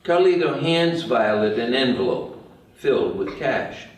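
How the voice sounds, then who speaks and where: A man's voice: medium pitch, expressive, unhurried. A man reading stage directions as the narrator of a screenplay table read. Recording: very echoey room, distant mic.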